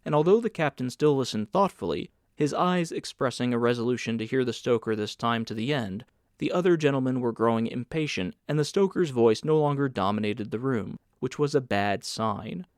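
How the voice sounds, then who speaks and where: A man's voice: mid-range voice, animated, fairly quick, no room sound. Man narrating an audiobook, dry sound. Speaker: audiobook reader. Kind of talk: scripted literary narration.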